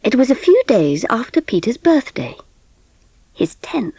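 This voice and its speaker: A woman playing a gentle middle-class mother who narrates her family's story in a dramatised audiobook. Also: a little high, big pitch swings, a little slow, breathy edge, no room sound, full character voice. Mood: reflective, fond.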